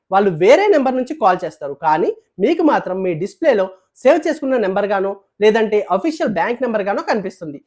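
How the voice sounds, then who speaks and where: Animated, fast-talking woman newsreader. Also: medium pitch. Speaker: news presenter. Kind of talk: scripted news narration.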